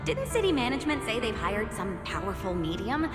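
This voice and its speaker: A woman's voice: fairly high pitch, big pitch swings, a little fast. A woman playing a nonchalant townsperson in a video game cutscene. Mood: unhurried. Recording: echoey hall, loud music behind.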